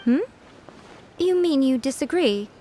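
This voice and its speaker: A woman's voice: high voice, lively intonation, measured pace, warm tone. A woman voicing a proud, sly fox-spirit shrine woman with a composed, haughty, teasing manner in an anime-style game. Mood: unconcerned, smug.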